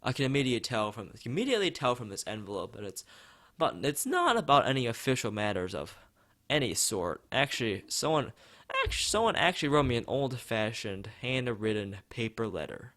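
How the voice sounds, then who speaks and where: A man's voice: medium pitch, sing-song, a little fast, fairly bright tone, dry recording, studio quality. A man reading a visual novel aloud as a let's player, adding commentary and often stumbling and rereading lines.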